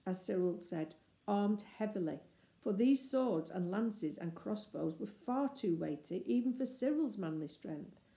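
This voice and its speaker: A woman reading a children's story aloud as expressive narration. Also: mid-range voice, unhurried, vocal fry. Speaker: storybook reader. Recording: roomy, echoey indoor sound.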